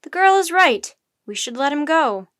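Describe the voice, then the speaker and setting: A woman voicing an upset man in a storybook, polite but shouting urgently to be heard. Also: high-pitched, big pitch swings, normal speed, dry recording, studio quality, voice pushed up.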